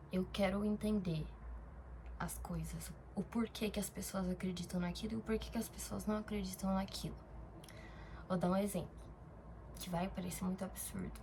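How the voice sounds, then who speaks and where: A woman's voice: medium pitch, warm tone, a little hiss or hum. A young woman from Brazil talking casually in a diary-style vlog, rambling, with fillers and self-corrections. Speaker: vlogger.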